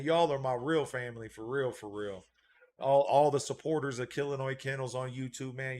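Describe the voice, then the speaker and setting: Laid-back man hosting a livestream. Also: medium pitch. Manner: laid-back, repeats "real talk, man"; reads out and thanks viewer donations. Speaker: dog breeder hosting a livestream. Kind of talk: casual livestream chat.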